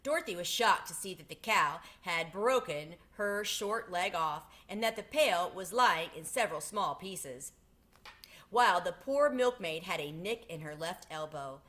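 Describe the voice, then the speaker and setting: A woman's voice: on the low side, lively intonation, a little slow, fairly bright tone. A woman reading a children's storybook aloud as a storyteller.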